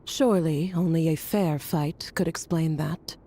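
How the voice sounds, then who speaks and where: An actress playing a cool, shrewd alchemist scholar in a video game, speaking in a dry, barbed, articulate way. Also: female voice, sits low, a little slow, warm tone. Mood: dry mockery.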